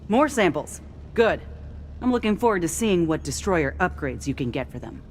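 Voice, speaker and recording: An actress playing a zealous military starship crew member in a video game, musing in a conversational way. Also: female voice, on the low side, very animated, normal speed, a little hiss or hum. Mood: pleased. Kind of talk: video game character dialogue.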